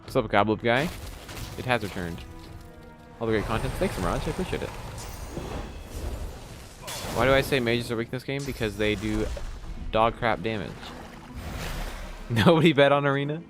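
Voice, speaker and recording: A man's voice: mid-range voice, animated, moderate pace, pauses often, faint music behind. A young man from Texas with a light Texan accent, chatting casually with viewers while streaming a game, rambling with lots of fillers. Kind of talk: casual live-stream chat while playing.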